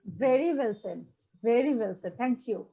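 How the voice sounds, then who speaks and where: A woman's voice: a little high, lively intonation, measured pace, creaky, mellow, dull audio, slight background noise. A woman, agreeing emphatically as a participant in an online panel discussion. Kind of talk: casual discussion on a video call.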